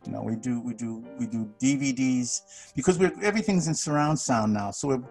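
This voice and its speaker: A gravelly-voiced man in his sixties talks loosely over a video call, circling back on his words and chuckling. His pitch sits in an ordinary middle range, and faint music plays behind him. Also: moderate pace, a little mellow. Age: 60s. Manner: rambling, many restarts, chuckles often. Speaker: studio manager and bass player. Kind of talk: casual conversation on a video call.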